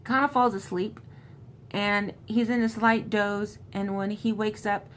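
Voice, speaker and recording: A woman host gives an informal book recap, moving between loose retelling and reading aloud. Her voice sits at an ordinary mid pitch for a woman. There is noticeable ambient noise behind her. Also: steady tone, unhurried. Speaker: host recapping a horror novel. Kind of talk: casual book recap with passages read aloud.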